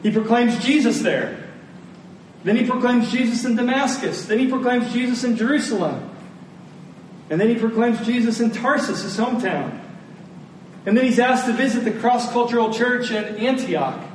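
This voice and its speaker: A man preaching a sermon to a congregation, speaking emphatically with deliberate repetition and rhetorical questions. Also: extremely high pitch, expressive. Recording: very echoey room, distant mic, faint noise.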